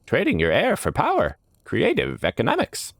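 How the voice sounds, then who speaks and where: A man voicing a whimsical, smirking trickster gentleman in a theatrical, lilting way for a fantasy story. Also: fairly high pitch, huge pitch swings, moderate pace, gravelly, dry recording, voice pushed up, heavily acted. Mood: smirking, flippant.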